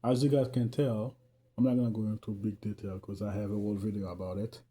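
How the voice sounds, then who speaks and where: A man's voice: fairly low pitch, level tone, fast talker, dark tone, slight background noise. A man from Haiti with a Haitian accent, talking casually and earnestly to his viewers about faith and growth, with frequent laughs. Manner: earnest, loose and chatty, laughs often. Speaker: faith vlogger. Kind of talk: casual talk to camera.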